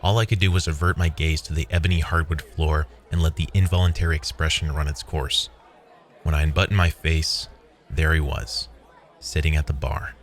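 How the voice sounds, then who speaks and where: A man's voice: very deep voice, fairly even intonation, normal speed. A man playing a weary, wry programmer who narrates his own story as a scripted first-person audio drama. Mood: wry, reflective.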